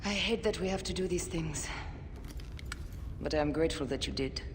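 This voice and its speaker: A woman voicing a fierce but loving assassin and avenging wife in a video game cutscene, with an intense, measured delivery. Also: deep voice. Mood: tender. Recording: reverberant hall, loud ambient noise.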